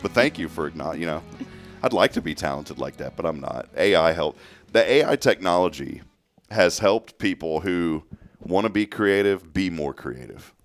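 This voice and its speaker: Groggy deep-voiced radio morning host. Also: male voice, expressive, moderate pace, soft background music. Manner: rambling, self-interrupting, chuckling. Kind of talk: casual on-air chat.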